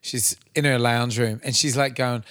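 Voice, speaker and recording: A man's voice: mid-range voice, steady tone, moderate pace, a bit bright, dry recording, clean studio sound. Laid-back Australian man co-host. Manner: laid-back, agreeable, swearing banter. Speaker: podcast co-host. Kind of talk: casual chat.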